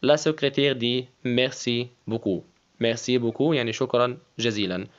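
Egyptian-accented man, patient French tutor, repeats and spells, muffled lo-fi audio. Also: mid-range voice. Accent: Egyptian Arabic. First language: Arabic. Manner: slow, deliberate, repeats phrases and spells words letter by letter. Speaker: French teacher. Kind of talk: language lesson, French with Arabic explanations.

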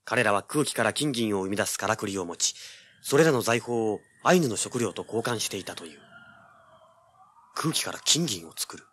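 A man's voice: on the low side, animated, normal speed, a bit bright. An actor playing a brash, driven young male treasure hunter in an audio drama, with intense dramatic delivery. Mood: intent, dramatic. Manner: cocky, intense, rough casual speech. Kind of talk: dramatic first-person narration and dialogue, audio drama.

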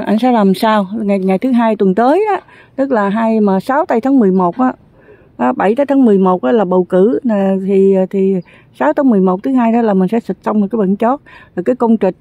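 A woman's voice: mid-range voice, mellow, dry recording. A woman giving a chatty, rambling walking commentary while touring a construction site outdoors, filming on a handheld phone. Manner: chatty, rambling, many fillers.

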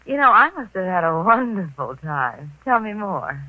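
An actress playing a detective's breezy, playful young wife, airy and coy, in an old radio mystery. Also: female voice, fairly low pitch, very animated, moderate pace, sharp tone, no echo, rough recording. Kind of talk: 1940s radio mystery acting.

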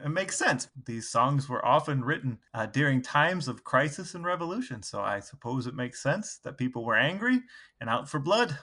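A man's voice: medium pitch, very animated, normal speed, bright, forceful tone. A man co-hosting a podcast in a casual, conversational style. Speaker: podcast co-host.

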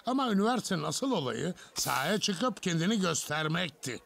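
A man's voice: high voice, sing-song, fairly quick, creaky, fairly bright tone, full character voice. An actor playing a hearty older grandfather in a dubbed cartoon, reminiscing fondly and boastfully. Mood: fond, proud. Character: big hearty nostalgic grandfather. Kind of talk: animated series dub acting.

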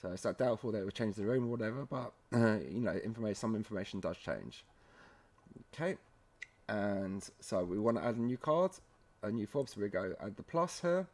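Low-voiced man giving a casual software walkthrough. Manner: informal, halting, often checking in with "okay". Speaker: tutorial presenter.

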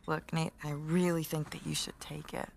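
A woman's voice: low voice, little pitch movement, moderate pace, vocal fry, a little mellow, a little hiss or hum. An American actress playing a wry, teasing wife in her thirties, speaking dryly and naturally in a video game cutscene. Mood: earnest, coaxing. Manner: dry, teasing.

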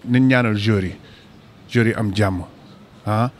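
A man's voice: fairly low pitch, unhurried, a little hiss or hum. A man speaking emphatically in a street interview outdoors, into a handheld mic. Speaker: football fan interviewed. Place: snowy city street outdoors.

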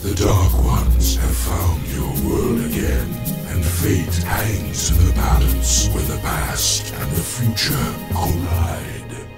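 Deep, slow, ancient oracle spirit. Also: male voice, animated. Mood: solemn. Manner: grave, formal pronouncements. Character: ancient solemn oracle spirit in a giant statue. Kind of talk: portentous video game acting. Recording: loud music behind.

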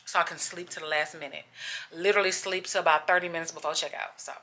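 A woman's voice: fairly low pitch, fairly even intonation, quick pace, sharp tone. A young adult woman, chatting casually and gushingly to the camera in a travel vlog. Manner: gushing, chatty, addresses viewers as y'all. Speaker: travel vlogger.

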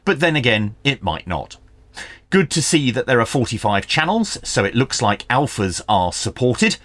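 Animated man giving a hands-on tech review. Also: mid-range voice, moderate pace, no echo. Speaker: radio review channel host. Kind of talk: hands-on product review and tutorial narration. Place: small indoor home workshop.

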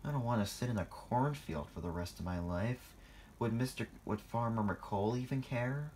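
A man's voice: mid-range voice, normal speed, a little mellow, slight background noise, natural voice. A man voicing a worried male engine in a children's story, sighing with dismay.

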